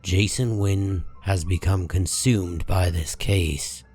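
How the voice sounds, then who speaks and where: A man reading scripted dramatic comic book narration in a measured, solemn manner. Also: low-pitched, steady tone, darkish tone, husky. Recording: music behind the voice.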